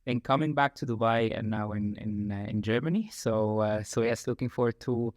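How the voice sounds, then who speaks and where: A man's voice: on the low side, normal speed, no room sound, clean studio sound. A man from Egypt, a guest talking about his work in casual interview talk, full of fillers and repeated phrases. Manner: frequent fillers, repeated "like, again". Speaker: guest, talent acquisition lead. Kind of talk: conversational interview.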